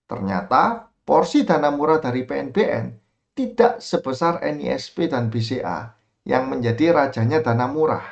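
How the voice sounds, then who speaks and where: A man with an Indonesian accent reading a scripted explanatory story in an informal, expressive storyteller's way. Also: medium pitch, normal speed, creaky, muffled recording. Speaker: narrator and content creator. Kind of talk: scripted storytelling narration explaining stock investing.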